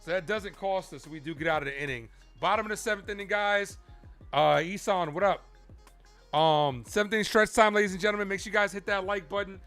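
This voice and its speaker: A man hosting a live fan baseball stream, calling play-by-play with excitable calls and chatty asides to viewers. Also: high voice, brisk, faint music behind. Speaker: livestream host and baseball play-by-play commentator.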